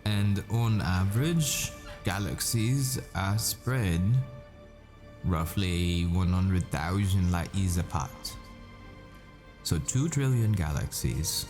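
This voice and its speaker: A man playing a patient, absorbed math professor who lectures with rhetorical questions and deliberate pauses in a scripted roleplay. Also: low-pitched, expressive, over music. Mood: absorbed, teaching.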